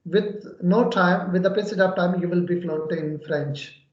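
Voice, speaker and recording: A man's voice: high voice, measured pace, a little mellow. Earnest man, Urdu native, echoey room. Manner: earnest, explanatory, many fillers. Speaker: English teacher. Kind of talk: casual conversation practice. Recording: echoey room, dull lo-fi audio.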